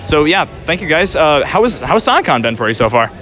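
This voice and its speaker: A man's voice: fairly high pitch, big pitch swings, quick pace, a bit bright. A man doing casual, eager event interviews as a podcast host, chatty and agreeable. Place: busy public venue at a convention. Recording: busy convention with background music. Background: music and venue bustle.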